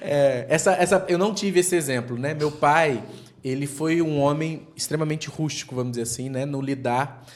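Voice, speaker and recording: A man's voice: a little high, normal speed. A man as a podcast guest, speaking earnestly and reflectively in long stretches built around images like nests and wildfires. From Brazilian Northeast. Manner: earnest, speaks in extended metaphors. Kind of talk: reflective interview talk.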